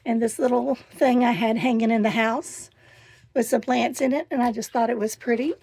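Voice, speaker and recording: A middle-aged woman with a hoarse voice, chatting casually as she gives a tour of her camper van. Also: fairly high pitch, fairly even intonation, mellow. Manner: chatty and enthusiastic, pausing while showing things. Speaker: vlogger. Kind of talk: casual home tour vlog. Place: inside a small camper van.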